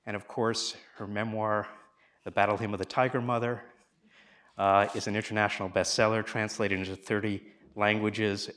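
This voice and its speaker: A man with a fairly low voice hosts a live talk. He introduces his guest formally and asks questions in a measured way, with the event recorded before an audience. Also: little pitch movement, a bit bright. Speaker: interview host and moderator.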